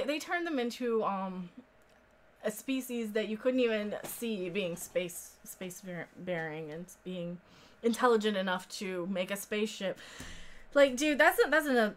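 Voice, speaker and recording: A woman in her thirties with an American accent and a fairly high voice. She talks casually as a live stream host, rambling and informal, reading and answering comments from viewers. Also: fairly bright tone. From central United States. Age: 30s. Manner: rambling, informal, sweary, prone to laughing.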